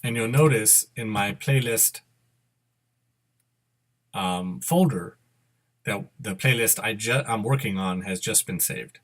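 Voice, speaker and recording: A middle-aged man narrating a step-by-step screen demo, unscripted and halting, correcting himself as he instructs. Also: fairly low pitch, lively intonation, fairly bright tone. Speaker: software tutorial presenter. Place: indoor room at a computer.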